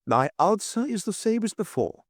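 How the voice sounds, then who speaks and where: A man's voice: high voice, big pitch swings, moderate pace, dry recording, studio quality, voice pushed up. A man voicing a curt, discreet notary in an audiobook, clipped, formal and unforthcoming. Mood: guarded, clipped. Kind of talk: audiobook character dialogue.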